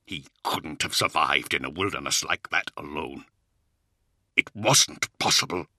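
A man voicing an anguished, bitter knight commander sliding toward madness, impassioned and full of weighted pauses, in a dramatic audiobook. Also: extremely low pitch, bright, forceful tone, no echo, studio quality, full character voice. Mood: tearful confession. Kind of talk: dramatic audiobook character acting.

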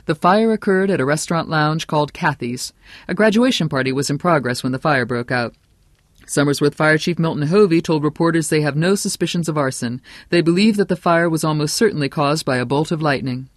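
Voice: medium pitch, fairly quick, no echo, clean studio sound, natural voice. Audiobook role: narrator as male news announcer, formal, projected, grave and urgent bulletin delivery.

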